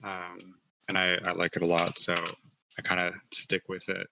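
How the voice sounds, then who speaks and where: A man's voice: sits low, completely flat delivery, unhurried, creaky, background noise. A man guesting on a casual livestream interview, joking and easygoing. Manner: joking, easygoing, self-deprecating. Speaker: guest artist.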